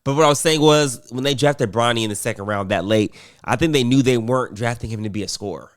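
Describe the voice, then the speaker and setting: A man's voice: medium pitch, quick pace. A man commentating casually on basketball, rambling with fillers and restarts while getting over a cold.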